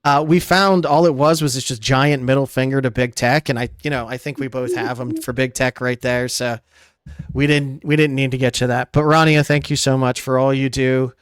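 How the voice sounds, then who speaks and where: A man hosting a casual podcast interview, jokey and quick to laugh. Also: mid-range voice, fairly even intonation, smooth, no echo, studio quality. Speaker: podcast host.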